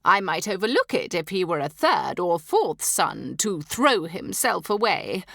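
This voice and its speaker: A woman's voice: medium pitch, very animated, takes it slowly, pushed and bright, dry recording, studio quality, heavily acted. A woman reading the part of a haughty, imperious old dowager duchess with a cold, cutting manner.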